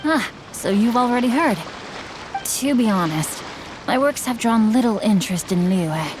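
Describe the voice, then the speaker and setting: A woman's voice: fairly high pitch, expressive, a little slow, background noise. A woman playing a polite, bookish teenage young master in a video game, with courteous, eloquent and slightly formal speech. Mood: earnest, modest. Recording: background music under the voice.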